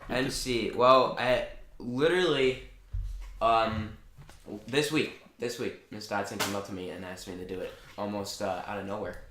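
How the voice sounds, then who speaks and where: A man's voice: lowish voice, steady tone, normal speed, fairly bright tone. A teenage boy guest on a school podcast, chatty and upbeat. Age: teens. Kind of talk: casual chat. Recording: echoey room with faint hiss.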